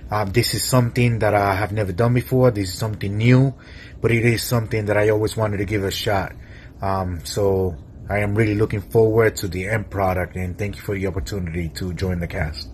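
Earnest man, low voice, faint hiss. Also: fairly even intonation, fairly quick, a little mellow. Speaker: first-time voice actor. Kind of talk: cast self-introduction.

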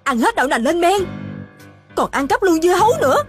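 A woman voicing an excitable, hot-tempered young mother in a cartoon dub, exclaiming and scolding. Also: extremely high pitch, brisk. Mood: panicked. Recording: light music behind.

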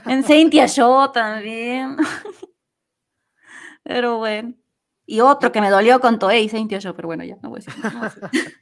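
A woman with a Latin American Spanish accent chats casually as a guest. Her voice sits a bit high, and her way of talking is playful and chatty. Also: measured pace, long pauses. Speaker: guest, amateur voice actress. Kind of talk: casual interview chat.

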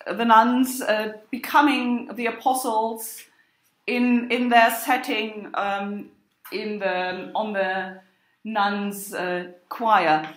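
A woman giving an academic lecture as a university lecturer, with a halting, hesitant delivery. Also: medium pitch, fairly even intonation. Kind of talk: academic lecture with examples chanted and recited. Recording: roomy, echoing sound.

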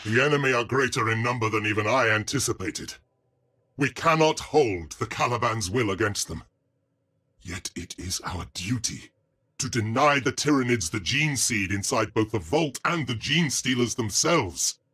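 An actor playing a stern, solemn space marine commander in a video game cutscene, with a husky voice and grave, formal, commanding delivery. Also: male voice, mid-range voice, animated, normal speed, sharp tone. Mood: grave, resolute.

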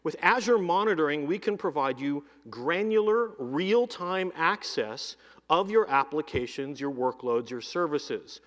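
A middle-aged man giving a live keynote demo on a conference stage, speaking into a headset mic and running through lists with energy. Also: on the high side. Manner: energetic, lists items in quick runs. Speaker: keynote presenter demoing cloud software. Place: large conference hall stage. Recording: long hall reverb.

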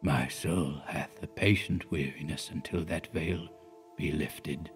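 Man doing dying old clergyman, slow, faint, solemn, low. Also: expressive, soft background music. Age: older.

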